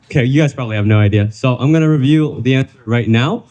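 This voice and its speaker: A young man from Vietnam presents to a live audience at a workshop. His voice sits at an ordinary middle pitch. He is chatty and keeps coaxing the listeners to make a guess. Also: quick pace, a little mellow. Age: young adult. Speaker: workshop speaker.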